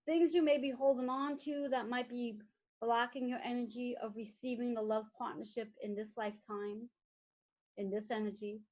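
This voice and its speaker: A woman leading a guided meditation in slow, soothing, repetitive phrases. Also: a little high, fairly even intonation, a little mellow. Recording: dull lo-fi recording.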